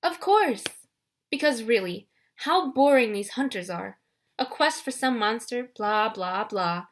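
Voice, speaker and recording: A woman's voice: fairly high pitch, animated, measured pace. A woman voicing a vain, gushing goddess of love in a storybook reading, swooning and theatrical.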